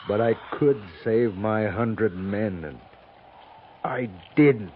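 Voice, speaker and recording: A man acting a haunted, weary cavalry officer weighed down by guilt, in halting, laboured 1950s radio drama delivery. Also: lowish voice. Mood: anguished, fading. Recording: tinny, hissy 1950s radio recording.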